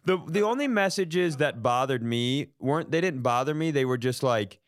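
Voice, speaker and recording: A man's voice: mid-range voice, expressive, moderate pace. An American man co-hosting a comedy podcast with casual, self-deprecating banter and wry interjections. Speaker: podcast co-host.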